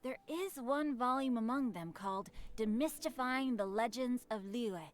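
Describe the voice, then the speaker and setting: A woman's voice: fairly high pitch, moderate pace, close to an everyday voice. A woman voicing a courteous, bookish teenage boy in a video game, speaking in ornate, formal, poetic phrases. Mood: courteous, measured. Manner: ornate, formal, old-fashioned wording.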